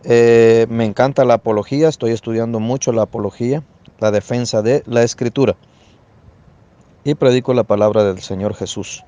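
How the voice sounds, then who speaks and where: Salvadoran guy preaching in a debate, mellow voice, muffled lo-fi recording. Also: mid-range voice, fairly even intonation, normal speed. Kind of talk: religious debate introduction. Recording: dull, lo-fi voice audio.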